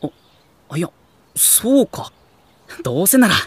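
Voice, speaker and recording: A man's voice: high voice, very animated, unhurried, background noise. A man playing a mild-mannered, good-natured teenage boy in an anime audio drama, speaking casually. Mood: exasperated. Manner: casual, gently exasperated.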